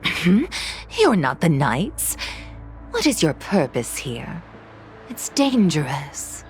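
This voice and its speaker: A woman's voice: low-pitched, big pitch swings, takes it slowly, a little hiss or hum, heavily acted. A woman voicing a sinister, disdainful cultist villain in a video game, with a mocking, menacing manner. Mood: suspicious. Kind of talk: English video game dub acting.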